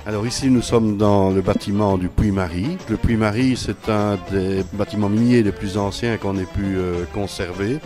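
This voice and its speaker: A man with a Belgian French accent, a museum director, explaining in an informative, detailed way on a radio show. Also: lowish voice. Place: old mining building.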